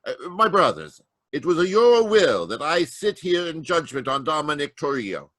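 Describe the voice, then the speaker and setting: An actor playing a stern Italian elder presiding as judge in an old-time radio drama, commanding and deliberate, with an Italian accent. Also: male voice, a little high, very animated, a bit bright, dry recording, clean studio sound, heavily acted. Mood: solemn, deliberate.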